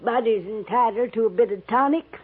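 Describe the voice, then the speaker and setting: A woman's voice: on the high side, a little fast, husky, narrow, tinny audio. An actress playing a wily, sweet-talking old American frontier woman with a cracked voice and a folksy drawl, in a 1950s radio drama. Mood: sly, wheedling. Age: older. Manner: folksy rustic drawl, sly.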